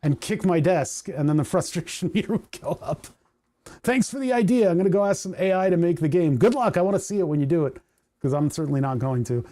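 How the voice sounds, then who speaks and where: Chatty mellow-voiced man, voice going hoarse. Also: a little high, expressive, quick pace. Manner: rambling, chatty, laughs often, reads chat aloud. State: voice starting to go hoarse. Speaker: livestream host. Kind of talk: casual livestream chat while coding.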